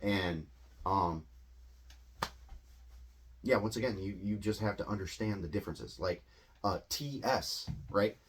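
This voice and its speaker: A man's voice: on the low side, a little slow, long pauses, slight background noise. A man, a native English speaker, casually explaining a language lesson in a rambling way, with many fillers and restarts. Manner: rambling, filler-heavy, self-correcting. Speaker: English teacher.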